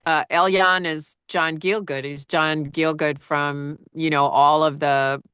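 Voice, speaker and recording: A woman audiobook narrator with a low-register voice, chatting casually and rambling with lots of fillers and restarts. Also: steady tone, takes it slowly, fairly bright tone, clear, resonant tone, no echo. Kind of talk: casual conversation.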